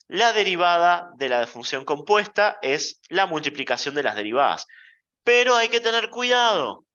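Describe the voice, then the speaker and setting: A man giving a university math lecture in a playful, deliberate way, checking in with the class often. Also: fairly high pitch, sharp tone, muffled recording.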